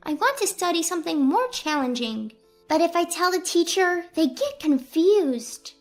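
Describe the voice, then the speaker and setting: A woman voicing a bright, eager young schoolgirl who speaks in an earnest, frank way in a narrated family story. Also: very high voice, big pitch swings, moderate pace, soft background music, voice pushed up, exaggerated voice. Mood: bored, frank.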